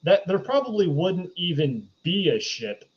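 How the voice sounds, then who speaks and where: A man in casual debate as a podcast panelist, halting and repeating himself for emphasis, on a slightly dull recording. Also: high-pitched, sing-song. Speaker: podcast panelist reviewing films.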